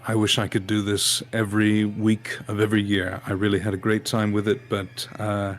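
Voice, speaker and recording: A man's voice: on the low side, monotone, normal speed. A man, a voice actor, chatting casually as a guest in a hesitant, earnestly grateful way. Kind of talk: casual chat. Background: background music.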